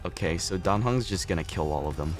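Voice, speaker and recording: A man's voice: low-pitched, lively intonation, fairly quick, over loud music. A young man livestreaming a video game with casual, teasing commentary full of slang and swearing. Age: young adult.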